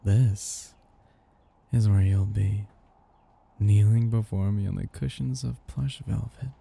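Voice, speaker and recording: A man playing a possessive, condescending vampire master who croons and teases slowly in an intimate ASMR roleplay. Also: fairly low pitch, fairly even intonation, exaggerated voice. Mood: softly menacing. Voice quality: husky, gravelly, dark. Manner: slow, crooning, teasing, condescending.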